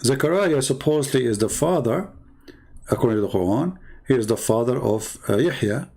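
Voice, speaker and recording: A man's voice: mid-range voice, expressive, a little fast, darkish tone. A middle-aged man from the Middle East whose first language is Arabic, hosting a live-stream lecture in an emphatic, repetitive way and throwing rhetorical questions at his viewers.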